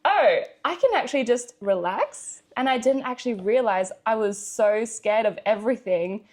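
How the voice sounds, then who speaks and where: A woman's voice: fairly high pitch, animated, moderate pace, a little mellow. A woman from Australia, chatting casually as a guest, with frequent ums and laughs. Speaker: guest, PhD student. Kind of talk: casual interview chat.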